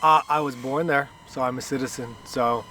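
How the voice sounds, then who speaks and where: A man's voice: mid-range voice, steady tone, moderate pace, bright, forceful tone, distant mic, a little hiss or hum. A man from Guatemala, a guest in a casual outdoor interview, answering in a wry, self-deprecating way with frequent laughs. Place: outdoors at a park playground. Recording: voice a bit off-mic.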